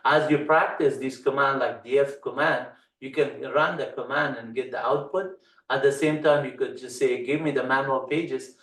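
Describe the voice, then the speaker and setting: A middle-aged man from Afghanistan whose first language is Dari, giving a screen-share walkthrough as an instructor, with many fillers and halting phrasing. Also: medium pitch, steady tone, fairly bright tone, recorded from a distance. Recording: echoey room, off-mic.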